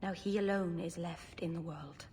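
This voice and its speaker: A woman's voice: fairly low pitch, steady tone, moderate pace, darkish tone, a little hiss or hum, lightly acted. An actress playing an earnest female elf storyteller telling myths in a fantasy game. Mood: solemn storytelling. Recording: long reverb, clear background ambience.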